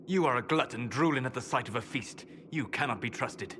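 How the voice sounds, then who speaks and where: An actor voicing a grave, distrustful elf in a fantasy game, speaking in solemn, clipped, scornful phrases. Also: male voice, mid-range voice, a little fast, background noise, natural voice. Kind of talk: dramatic fantasy game dialogue. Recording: music behind voice.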